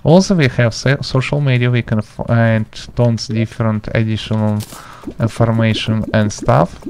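A man, speaking in halting, simple step-by-step instructions as he hosts an informal video game tutorial. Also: medium pitch, fairly even intonation, a little mellow, slight background noise. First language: not English. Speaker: gaming tutorial host. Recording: music behind the voice. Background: background music.

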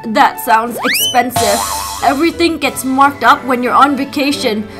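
A woman voicing a gentle, motherly young mom in a doll play story, soothing and a bit fretful. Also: high-pitched, huge pitch swings, moderate pace. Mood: worried. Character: kind pregnant young mom, gentle, budget-minded. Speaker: doll story narrator-voicer. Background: children's music.

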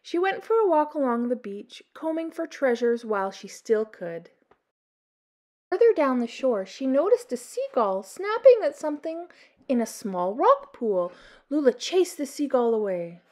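Children's story reader: middle-aged woman, higher-pitched voice, expressive read-aloud delivery. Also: unhurried. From Canada.

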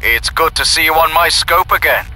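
Piercingly bright space soldier. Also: male voice, fairly high pitch, moderate pace, poor audio. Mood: urgent, dutiful. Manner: formal, urgent military reporting. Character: male space soldier reporting over battle radio. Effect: radio. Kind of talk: audio drama acting.

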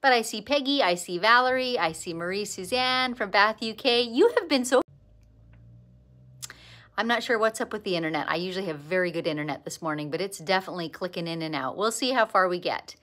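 A woman's voice: mid-range voice, a little fast. Chatty Canadian woman on a livestream. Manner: chatty, warm greetings to viewers, lively bursts of excitement. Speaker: quilting teacher and livestream host. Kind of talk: casual livestream chat. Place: indoor sewing studio.

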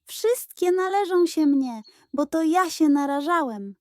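A woman's voice: high voice, animated, a little slow, darkish tone, no room sound, voice pushed up. A woman voicing a greedy, cheeky male pug in a children's audio fairy tale, whiny and self-righteous. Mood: whiny indignant.

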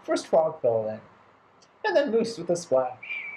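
Animated man telling children's stories. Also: fairly high pitch, slight background noise, low-quality recording. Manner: playful, theatrical, deliberate phrasing. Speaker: children's library storyteller. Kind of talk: animated children's storytime narration. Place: bright living room indoors.

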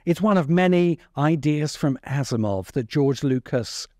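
A man's voice: a little high, animated, a little slow, darkish tone, no room sound. A middle-aged man hosting a video essay, delivering scripted narration into a close microphone. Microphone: close microphone on a stand in front of him.

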